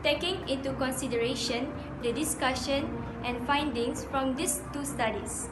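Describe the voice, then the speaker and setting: A woman reads a scripted presentation in a formal, carefully phrased way. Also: high-pitched. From Malaysia. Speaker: student project presenter. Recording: roomy echo under loud music. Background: music.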